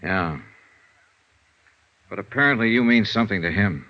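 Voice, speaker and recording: An actor playing a calm, businesslike insurance detective in 1950s radio noir, asking dry, clipped, understated questions. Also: male voice, lowish voice, moderate pace, loud music behind the voice. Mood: grave, matter-of-fact. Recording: dull vintage radio recording.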